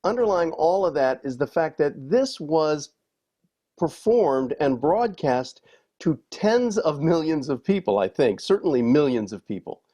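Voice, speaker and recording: A man's voice: on the high side, very animated, measured pace, mellow. A man speaking as a commentator in a reflective interview style.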